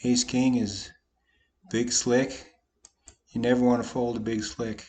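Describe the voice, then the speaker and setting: A slightly hoarse man, a poker vlogger, casually talking through his online poker hands with a folksy, self-deprecating manner. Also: medium pitch, little pitch movement, unhurried, a little mellow. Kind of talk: casual commentary over online poker play.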